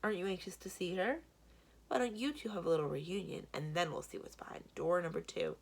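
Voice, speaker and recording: A woman's voice: on the low side, expressive, a little fast, creaky. A woman voicing a sly, theatrical male potion seller with smug salesman patter in a children's storybook. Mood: taunting. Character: Blue, sly bald theatrical male potion-selling schemer. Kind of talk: children's book read-aloud.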